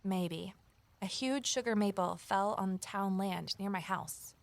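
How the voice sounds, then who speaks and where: An actress playing a wary, determined amateur sleuth in a naturalistic audio drama, conversational and gently wry. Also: female voice, mid-range voice, slow, gravelly, dry recording. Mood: earnest, concerned. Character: Evelyn, wary determined former journalist turned amateur sleuth. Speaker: lead character and first-person narrator.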